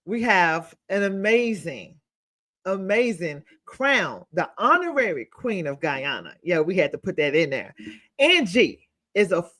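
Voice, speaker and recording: A woman's voice: mid-range voice, fairly bright tone. Emphatic woman host. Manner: emphatic, pausing between words. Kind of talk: scripted guest introductions.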